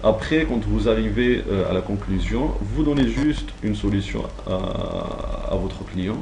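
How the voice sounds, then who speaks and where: A man's voice: lowish voice, unhurried, a little mellow, a little hiss or hum. A man giving an instructional training talk, explaining step by step in a didactic, semi-improvised way. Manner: didactic, step-by-step, checks understanding. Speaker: trainer teaching call-centre phone technique.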